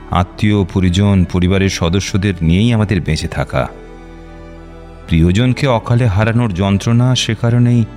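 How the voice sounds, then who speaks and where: Actor as a low-voiced, measured doctor. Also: male voice, darkish tone, lightly acted. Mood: grave, explanatory. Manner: deliberate, explanatory, with measured pauses. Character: measured, reassuring male doctor counselling a client. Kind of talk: Bengali radio drama acting.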